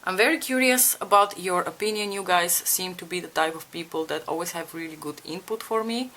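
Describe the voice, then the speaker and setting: A woman's voice: on the low side, fairly quick, sharp tone, slight background noise. A young woman from Bulgaria chatting candidly to viewers while doing her makeup, asking them for advice and swearing casually. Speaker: beauty vlogger. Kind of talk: casual makeup tutorial chat.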